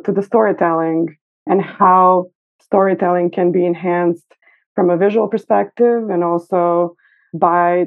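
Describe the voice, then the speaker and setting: A middle-aged woman from Serbia with a Serbian accent, speaking conversationally as an interview guest. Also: on the low side. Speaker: podcast guest, costume designer and educator. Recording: narrow, phone-like internet call sound.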